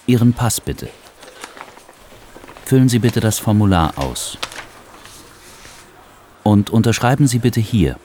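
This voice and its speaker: Slow-spoken man in a textbook dialogue. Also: on the low side, sing-song, warm tone, a little hiss or hum. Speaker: hotel guest and clerk in course dialogues.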